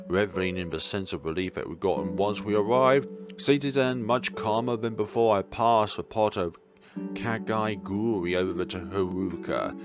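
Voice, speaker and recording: Male let's player, lowish voice, reading a visual novel theatrically with playful asides and stumbles, clear music underneath. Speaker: video game let's player. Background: game music.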